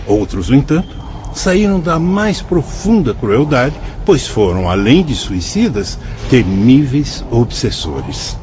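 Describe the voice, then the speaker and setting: A calm, wise spirit instructor. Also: male voice, mid-range voice, a little hiss or hum. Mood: patient, instructive. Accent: Brazilian Portuguese. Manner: measured, patient and explanatory. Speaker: spirit guide explaining the colony. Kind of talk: radio drama acting. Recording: dull, muffled sound.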